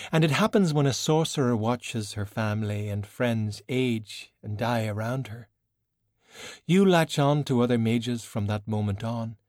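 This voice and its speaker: A man voicing a worldly, stern woman sorcerer in an audiobook, measured, formal and admonishing. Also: medium pitch, darkish tone, no room sound, clean studio sound, natural voice. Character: worldly, composed, cool woman sorcerer, long-lived and stern.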